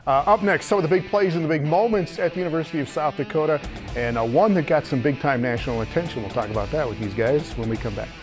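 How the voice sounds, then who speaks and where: A man's voice: a little high, fast, over music. A man hosting a sports talk show, moving between casual chat and presenting to viewers.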